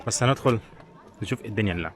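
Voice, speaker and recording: A man's voice: lowish voice, very fast, a little hiss or hum. A man with an Egyptian Arabic accent chatting casually as a travel vlogger, giving viewers an informal running commentary as he walks around. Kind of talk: casual walking-tour chat. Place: walking around a tourist village and its shops. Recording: busy crowd and street bustle behind.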